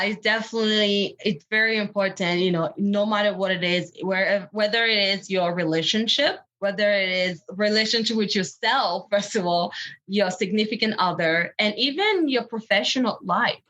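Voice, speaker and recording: A woman's voice: medium pitch, normal speed, fairly bright tone. A woman from Benin, French-speaking by birth, with a West African French accent, speaking earnestly as a coaching guest on a podcast interview. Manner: earnest, encouraging, light laughs.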